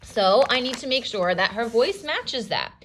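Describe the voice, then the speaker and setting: A woman teacher reading a story aloud and explaining as she goes, in an expressive, instructional style. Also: a little high, unhurried, a little hiss or hum.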